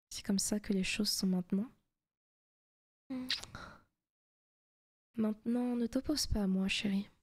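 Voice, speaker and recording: Slow, whispery demon girlfriend, acted by a woman. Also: medium pitch. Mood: possessive, tender. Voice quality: soft, breathy, often whispered. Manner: slow, intimate, teasing. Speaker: ASMR roleplay performer. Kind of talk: whispery ASMR roleplay.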